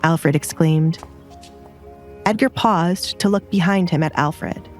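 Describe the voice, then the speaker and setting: A woman's voice: fairly low pitch, slow pace, faint music behind. A woman reading scripted romance fiction as an audiobook narrator.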